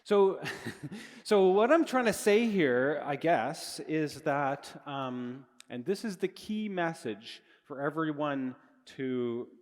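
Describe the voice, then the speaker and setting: A man's voice: on the high side, animated, slow. A middle-aged man giving a live physics lecture to students in a large hall, explaining casually and correcting himself as he goes, speaking into a handheld microphone. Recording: long reverb of a large hall.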